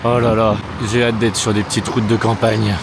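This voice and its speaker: A man's voice: lowish voice, flat delivery, normal speed, background noise. A man in his thirties from France, talking casually and self-mockingly to the camera outdoors by city roads, with some swearing. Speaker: travel vlogger. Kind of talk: casual vlog talk to camera.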